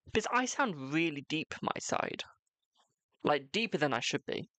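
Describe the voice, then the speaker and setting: A young man with a naturally high voice, reading tarot cards live and chatting cheekily with viewers, calling them "my darling". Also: big pitch swings, moderate pace, sharp tone, dry recording. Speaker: tarot reader on a livestream.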